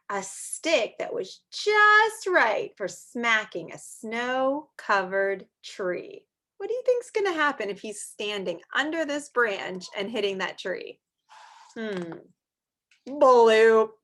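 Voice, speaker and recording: Expressive high-voiced woman reading a storybook aloud. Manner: expressive, drawn-out storybook reading with chatty asides. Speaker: teacher reading aloud to young children. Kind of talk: read-aloud storytime with questions to children.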